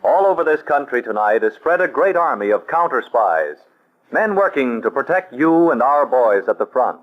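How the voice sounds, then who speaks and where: A man's voice: medium pitch, sing-song, moderate pace. A man announcing for a 1940s radio drama, stirring and emphatic. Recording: dull old radio with music.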